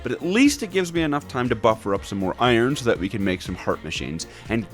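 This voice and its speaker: A man's voice: medium pitch, sing-song, quick pace, soft background music. A man narrating gameplay from a script in a wry manner, with playfully exaggerated complaints. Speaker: video creator, gamer.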